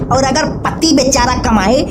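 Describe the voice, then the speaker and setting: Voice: sits high, a little slow, over music. A performer voicing a henpecked, aggrieved husband in a comic cartoon, a man who grumbles and argues indignantly. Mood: bitter.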